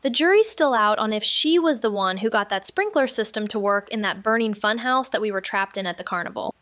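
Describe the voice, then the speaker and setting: A woman's voice: mid-range voice, lively intonation, brisk, a bit bright, natural voice. A woman playing a wry, playful best friend, bantering with earnest enthusiasm in an audio drama. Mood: amused, bantering. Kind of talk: scripted fiction podcast acting.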